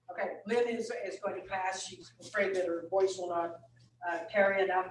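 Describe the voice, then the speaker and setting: High-pitched man with a booming voice. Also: a little hiss or hum. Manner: booming, brisk, introduces speakers. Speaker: meeting chair. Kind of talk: chairing a meeting. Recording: echoey room, far from recorder.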